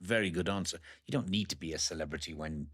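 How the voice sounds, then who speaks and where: Man, interviewer, lowish voice, friendly, encouraging, long questions. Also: quick pace, a bit bright. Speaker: interviewer host. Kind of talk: podcast interview.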